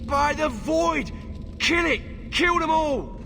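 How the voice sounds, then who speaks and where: Jumpy street thug, swinging pitch. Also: male voice, expressive, slow pace, background noise, exaggerated voice. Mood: alarmed. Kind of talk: video game acting.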